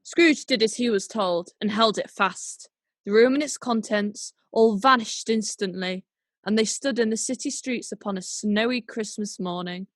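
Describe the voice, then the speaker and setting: A woman's voice: mid-range voice, moderate pace, no echo, lightly acted. A woman narrating a classic tale as a scripted radio play, in storybook style. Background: music.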